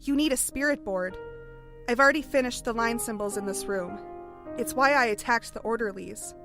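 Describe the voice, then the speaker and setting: A woman playing a tense young woman in a horror audio drama, speaking gravely and with measure. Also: a little high, moderate pace, music in the background, lightly acted. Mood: grave, uneasy. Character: tense young woman reading a grim journal.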